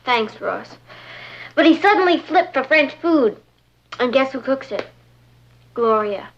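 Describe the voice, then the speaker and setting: High-pitched melodramatic teenage girl. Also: lively intonation, unhurried, lo-fi sound, exaggerated voice. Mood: glum, wry. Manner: theatrical, emphatic, wry. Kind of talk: vintage TV sitcom acting.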